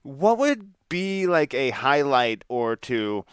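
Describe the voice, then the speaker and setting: A man's voice: medium pitch, big pitch swings, slow pace, clear, resonant tone, no room sound, clean studio sound. A man hosting a podcast interview in a casual, laid-back, informal way. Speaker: podcast host.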